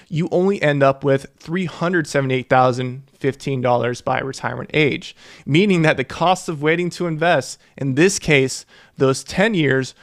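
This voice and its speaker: A man's voice: fairly high pitch, clear, resonant tone. A young man, a financial educator, earnestly walking viewers through investing figures and repeating key numbers for emphasis. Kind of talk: explainer to camera.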